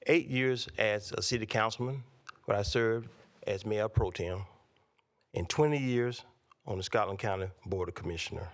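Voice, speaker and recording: Low-voiced man giving a candidate speech. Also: moderate pace. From North Carolina, USA. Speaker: candidate for county commissioner.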